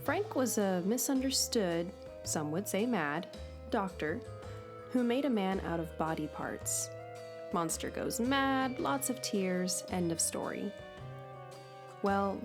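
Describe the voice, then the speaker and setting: Lady reading a picture book out loud, slow n playful, mid pitch, music in the back. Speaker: storybook reader. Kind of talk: expressive children's read-aloud narration. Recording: clear background music.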